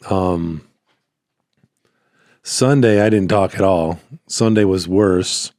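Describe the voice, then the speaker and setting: Low-pitched, slightly hoarse man podcasting. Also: darkish tone, no room sound, studio quality. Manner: rambling, halting, repeats phrases. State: hoarse, voice cutting in and out after illness. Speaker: podcast host, small business owner. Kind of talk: casual solo podcast talk.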